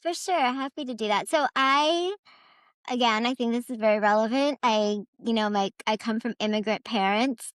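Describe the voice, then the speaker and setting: A woman's voice: fairly high pitch, normal speed, clear, resonant tone, no echo. A young adult woman, born in Iran and raised in the US, talking earnestly as a podcast guest, with many fillers and restarts. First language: Farsi. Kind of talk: casual conversation.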